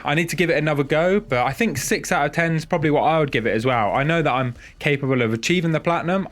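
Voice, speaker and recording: A man's voice: mid-range voice, a bit bright. A man with a head cold and a nasal voice, chatting casually and self-deprecatingly as host of a gaming video.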